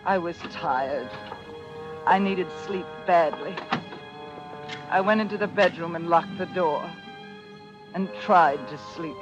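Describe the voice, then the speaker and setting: Grieving widow for vintage radio thriller: breathless, anxious narration and dialogue, music underneath. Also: female voice, fairly low pitch, dull audio. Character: grieving, lovesick, anxious newly widowed woman. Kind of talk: old-time radio drama acting with first-person narration. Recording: old radio recording with music. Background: dramatic music.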